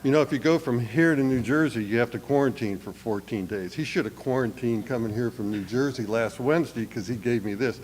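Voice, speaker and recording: Church elder, male, raspy head-cold voice, folksy, long reverb of a sanctuary, faint background hiss. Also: mid-range voice, brisk, few pauses, darkish tone. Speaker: church elder leading worship. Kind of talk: welcome and call to worship.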